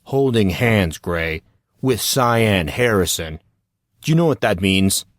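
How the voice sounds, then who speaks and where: A man's voice: fairly low pitch, animated, takes it slowly, no echo, clean studio sound. A man playing an earnest, indignant teenage boy in an audio drama who tries to sound dignified while interrogating. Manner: pompous, interrogating. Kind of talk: audio drama character dialogue.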